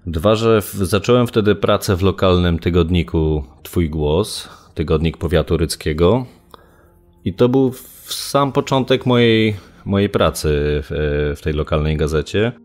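Very deep-voiced man, halting interview talk. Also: animated, darkish tone. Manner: halting, hesitant phrasing with repeated hums. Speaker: local journalist giving recollections. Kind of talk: unscripted interview recollection. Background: background music.

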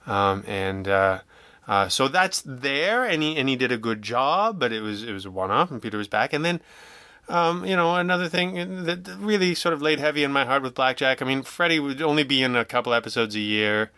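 Bright, clear-voiced man in halting conversation. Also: mid-range voice, expressive, no room sound. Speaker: podcast guest, audio drama writer and producer. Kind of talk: casual interview conversation.

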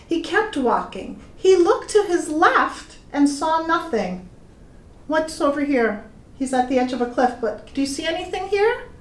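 A middle-aged woman reading a children's story aloud in a deliberate, expressive way, addressing young listeners. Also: high-pitched. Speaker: pastor reading stories to children. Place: indoor room with plain walls. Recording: echoey room.

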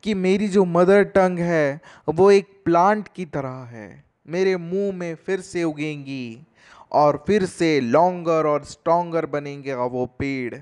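A man, teaching a poem line by line as a voice-over. He reads each verse line very slowly, then explains it in a mix of Hindi and English. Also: on the high side, a little mellow, smooth. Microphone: voice-over over text slides.

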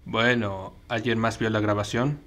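A man plays a clueless Sith apprentice in a parody fan dub. He speaks slowly in a low monotone, hesitant and nonchalant about his evil. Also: slight background noise. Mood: casual. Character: clueless deadpan male Sith apprentice, blandly murderous.

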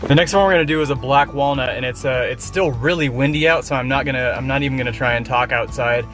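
American man, low-key music behind. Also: quick pace. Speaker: foraging vlogger. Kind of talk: casual explanatory vlog talk. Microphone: clip-on wireless lapel mic.

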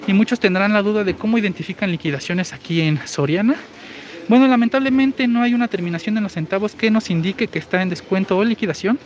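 A man in his forties with a high, girlish-sounding voice, chatting through a live store walkthrough and reading viewer comments. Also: lively intonation, fast talker. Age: 40s. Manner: chatty, explains deals while walking. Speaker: shopping deals streamer. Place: large indoor supermarket. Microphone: phone held while walking. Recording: noisy store ambience.

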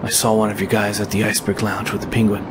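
A man's voice: lowish voice, monotone, quick pace, background noise, close to an everyday voice. A man acting a brooding masked vigilante detective in an audio drama, grim and clipped. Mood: guarded.

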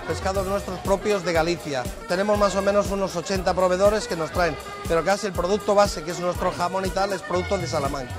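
A middle-aged man from Zamora, a restaurant manager, explaining his busy terrace restaurant to camera on a lapel mic. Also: high voice. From Zamora, Spain. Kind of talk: guided tour talk. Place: busy restaurant terrace under a tent at night. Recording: background music under the voice.

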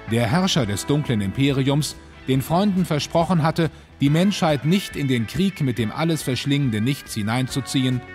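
A man as a grave storyteller for a sci-fi audio adventure. His voice is medium in pitch, with faint music underneath. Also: darkish tone, lightly acted. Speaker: narrator. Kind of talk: scripted dramatic narration.

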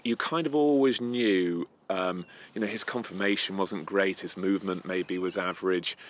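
A man's voice: deep voice, a little hiss or hum. A man from North Wales answering interview questions outdoors, hesitant, with many ums and restarts. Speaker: event rider interviewee. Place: outdoor terrace by a house.

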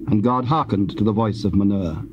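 A man narrating scripture from a script in a solemn, formal, measured storytelling manner. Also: lowish voice. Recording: dull, noisy old cassette tape.

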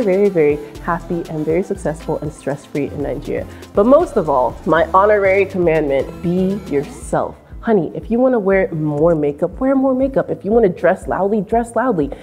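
A woman in her twenties with an American accent, giving sassy, animated advice straight to camera in an indoor room. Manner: sassy and animated, mimics voices in high squeals. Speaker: vlogger. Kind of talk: direct-to-camera advice vlog. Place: indoor room with painting behind.

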